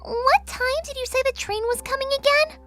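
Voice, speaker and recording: A woman voicing a bubbly, hyperactive pink female party pony in a fan audio drama, chattering excitedly. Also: very high-pitched, normal speed, soft background music.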